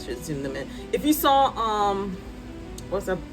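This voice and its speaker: A woman in her thirties, a writer, chatting casually to her phone's front camera at home, loud-voiced and rambling with many ums and asides. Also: mid-range voice, very animated, measured pace, music in the background. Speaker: writer vlogger. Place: hot room at home with a fan.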